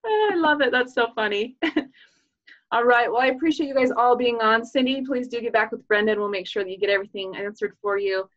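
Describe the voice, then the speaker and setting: A woman's voice: fairly high pitch, brisk, fairly bright tone, highs cut off. A woman giving an earnest, upbeat recruiting presentation over a video call, with casual fillers. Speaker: business presenter.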